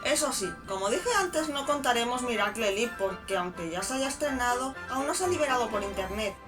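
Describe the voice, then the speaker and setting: Higher-voiced woman narrating over clear music. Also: lively intonation, fairly quick. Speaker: co-host narrator. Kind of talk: scripted review narration.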